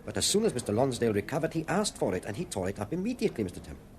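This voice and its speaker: An actor playing a formal, deferential and anxious hotel manager in a radio drama. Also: male voice, medium pitch, big pitch swings, rapid-fire, darkish tone, slight background noise, lightly acted. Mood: discreetly perturbed. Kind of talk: British radio drama acting.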